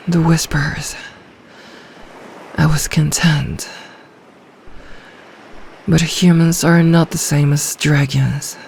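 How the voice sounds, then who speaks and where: A woman's voice: sits low, darkish tone, a little hiss or hum, exaggerated voice. A woman voicing an ancient, lonely, possessive sea dragon in human form, in a brooding, formal ASMR fantasy roleplay. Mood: mournful, bitter. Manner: slow, storybook-formal, brooding, lapses into breathy whispers.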